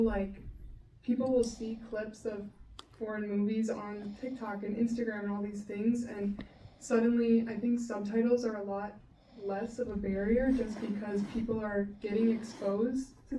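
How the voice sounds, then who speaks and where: Female, twenties, casual explanatory panelist, flat intonation, recorded at a distance in a reverberant room. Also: fairly high pitch. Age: 20s. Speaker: film student and panelist. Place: panel event room with ambient noise. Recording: roomy distant mic, faint noise.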